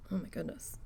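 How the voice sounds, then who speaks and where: A woman losing her voice, chatting casually and slowly with self-deprecating asides while she does a craft project at home. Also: darkish tone. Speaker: needle felting crafter.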